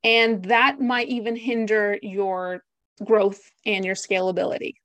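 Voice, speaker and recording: A woman's voice: medium pitch, level tone, unhurried, fairly bright tone, no room sound. A woman in her thirties with an American accent, a podcast guest who talks in an upbeat, chatty way, often tagging on "right?" and "like". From United States. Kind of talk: podcast interview.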